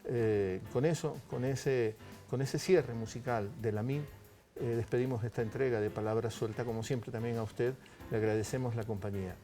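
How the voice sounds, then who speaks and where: A man hosting a conversational television interview in a measured, courteous manner with hesitant phrasing. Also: medium pitch, warm tone, soft background music.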